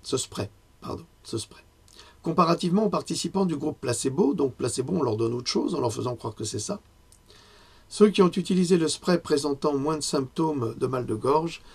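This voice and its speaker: A hoarse man giving a lecture in a conversational way, often pausing and correcting himself. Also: medium pitch, a little mellow. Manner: conversational, with frequent fillers and self-corrections. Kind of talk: health lecture with personal asides.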